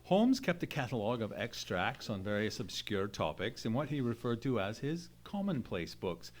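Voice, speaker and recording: An actor playing a loyal, sceptical Victorian man of science in an old-time radio mystery play, speaking in an earnest, measured, formal manner. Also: mid-range voice, very animated, over music, close to an everyday voice. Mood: solemn narrating. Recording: noisy live theatre stage recording.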